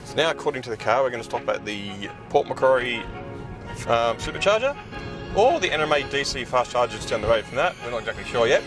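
A man's voice: mid-range voice, big pitch swings, quick pace. A middle-aged Australian man narrating a casual road trip vlog from inside his car, chatty and loose, with asides and fillers. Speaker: EV vlogger. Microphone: dash-mounted camera. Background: background music.

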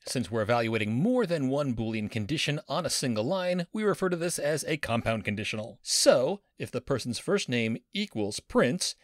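A man's voice: mid-range voice, animated, moderate pace, hardly any pauses, no room sound, clean studio sound. A man teaching a coding lesson as a professor, playful and enthusiastic, with pop-culture jokes. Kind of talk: screen-recorded coding lesson.

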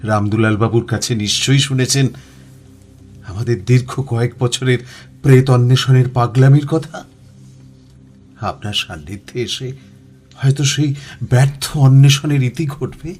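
An actor plays an earnest male seeker of spirits. His voice is mid-pitched and his manner courteous and curious, in formal phrases over music, as in a horror radio play. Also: measured pace, long pauses, darkish tone, lightly acted. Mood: respectful, eager. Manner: polite, formal, inquisitive. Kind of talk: Bengali horror audio drama acting.

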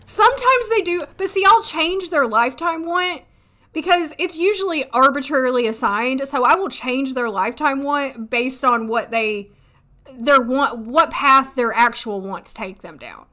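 A woman in her thirties, hoarse today, chatting casually and thinking aloud as she streams a life-sim game from a desk at home. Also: high-pitched, lively intonation, unhurried, sharp tone. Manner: chatty, thinking aloud, frequent little laughs. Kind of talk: casual gameplay commentary. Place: home room, seated at desk.

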